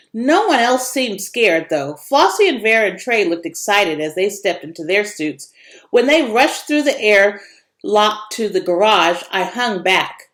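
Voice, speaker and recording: A woman reading a children's story aloud as expressive narration, with varied intonation. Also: medium pitch. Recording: echoey room.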